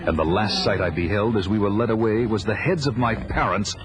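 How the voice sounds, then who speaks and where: A man's voice: lowish voice, fairly quick, background noise, close to an everyday voice. A man playing a grim, vengeful barbarian warrior who recounts his life in grave, dramatic audio drama narration.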